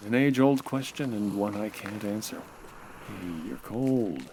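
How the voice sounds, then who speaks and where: A man playing a suave, flirtatious homicide inspector in a comedic 1940s-style radio drama, smooth-talking and gently teasing. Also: lowish voice, measured pace, slight background noise, low-quality recording.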